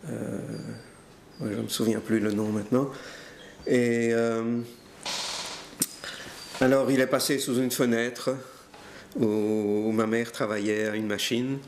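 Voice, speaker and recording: An older man recounting his family's history in a hesitant, halting way, with many fillers and restarts. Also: medium pitch, fairly even intonation, vocal fry, a little hiss or hum. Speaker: interviewee telling family history. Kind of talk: hesitant spoken recollection.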